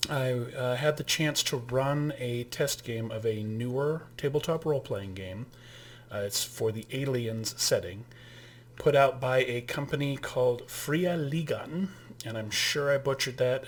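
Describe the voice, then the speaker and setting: A man's voice: medium pitch, slight background noise. A man hosting his own channel, giving a casual spoken overview of a tabletop game kit, with frequent fillers and restarts. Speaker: channel host and game master.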